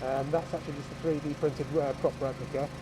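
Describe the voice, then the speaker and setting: A man cosplayer speaking hesitantly, with many pauses, about his props and cosplay advice. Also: a little high, steady tone, background noise. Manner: hesitant, full of ums. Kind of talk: casual interview at a convention. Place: busy convention hall. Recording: loud crowd chatter.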